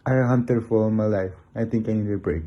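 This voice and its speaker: A man's voice: lowish voice, steady tone, fairly quick, muffled recording, lightly acted. A man acting an earnest, remorseful monster hunter in an amateur radio drama. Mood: weary.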